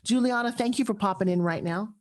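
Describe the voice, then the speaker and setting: Lady host gushing fast, mid pitch, running a live chat room. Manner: effusive, rapid, gushing.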